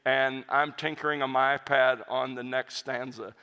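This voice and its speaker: Pastor fielding questions: man, earnest and reflective, bright voice, long hall reverb. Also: mid-range voice, flat delivery, moderate pace, clean tone. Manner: earnest, reflective, with frequent restarts. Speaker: pastor and hymn writer answering audience questions. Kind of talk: conversational Q&A talk.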